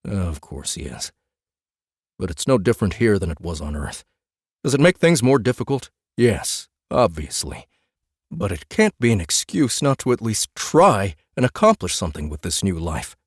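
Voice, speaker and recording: A gruff family man who farms an orchard, played by a male narrator, speaks low and bluntly in a fantasy story. He sounds irritated and hesitant, holding back exasperation. The recording is dry and close. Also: sing-song, moderate pace, dry recording. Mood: annoyed, blunt.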